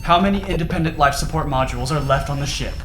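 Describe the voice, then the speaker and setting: A man's voice: fairly high pitch, quick pace, lightly acted. A man playing an urgent, commanding starship captain in a sci-fi audio drama, giving clipped orders with growing desperation. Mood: desperate, shaken. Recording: echoey room, clear background ambience noise.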